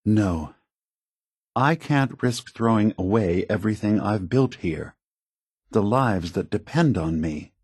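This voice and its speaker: An ancient synthetic being who leads with gentle, weary idealism in a video game. The voice is husky and takes its time, halting and choosing words with earnest care. It is recorded very dry and close. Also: male voice, lowish voice, animated, dry recording, full character voice. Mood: regretful, resolute. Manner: measured, halting, earnest, diplomatic. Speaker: leader of a synth refuge. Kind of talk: video game character dialogue.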